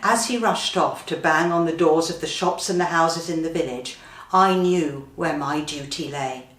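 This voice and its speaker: A woman's voice: low-pitched, moderate pace, fairly bright tone. A British woman reading a comic first-person story in an earnest, self-important, theatrical manner. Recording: roomy, slightly distant microphone.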